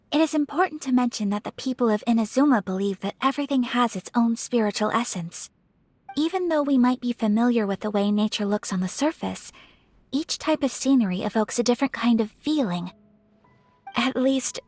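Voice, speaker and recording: A woman voicing a refined, gentle young noblewoman in a video game, speaking with formal courtesy and shy affection. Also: on the high side, dry recording. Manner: formal, polite, softly hesitant phrasing. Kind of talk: scripted video game character voice acting.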